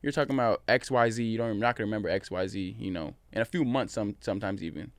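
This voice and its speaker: A young man in his twenties from Washington State, a guest on a podcast, chatting in an easygoing way. He talks quickly in a smooth, clear voice. The sound is dry and close. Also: lowish voice, no echo. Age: 20s. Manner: relaxed, easygoing, many fillers. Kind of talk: casual podcast chat.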